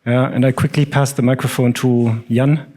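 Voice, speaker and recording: German-accented male speaker, conference talk, halting delivery with lots of ums. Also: medium pitch, little pitch movement, dark tone. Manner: hesitant, many fillers. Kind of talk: stage presentation.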